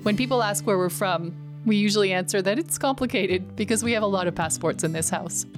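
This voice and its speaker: A woman's voice: medium pitch, brisk. Brief: middle-aged woman, slight Canadian accent, podcast host, enthusiastic, emphatic, faint music underneath. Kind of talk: scripted solo podcast monologue.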